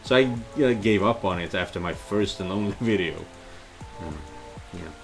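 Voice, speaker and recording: A middle-aged man giving casual playthrough commentary and reading dialogue, speaking in a hesitant, halting way. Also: sits low. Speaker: gaming video creator. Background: game music and rain ambience.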